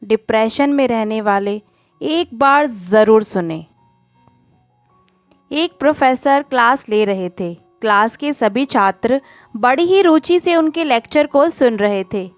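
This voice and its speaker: A woman narrating a scripted moral story in a deliberate, phrase-by-phrase way. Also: fairly high pitch, animated, no room sound, soft background music.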